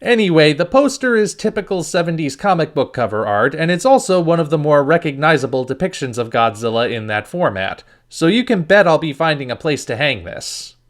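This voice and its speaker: A man's voice: fairly high pitch, big pitch swings, fairly quick, hardly any pauses. A man reading a scripted review as host, with a wry, critical manner.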